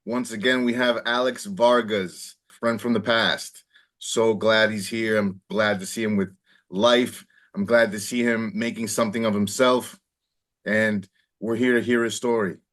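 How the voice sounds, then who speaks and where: Man, low voice, interview host, direct questions, video call. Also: steady tone, a little slow, a bit bright. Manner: upbeat welcoming then direct questioning. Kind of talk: hosting an interview show.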